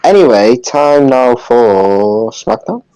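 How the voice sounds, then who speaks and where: A man's voice: medium pitch, sing-song, slow pace, dark tone, clear, resonant tone, low-quality recording. A man co-hosting a podcast in casual chat, chipping in with short reactions. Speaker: podcast co-host.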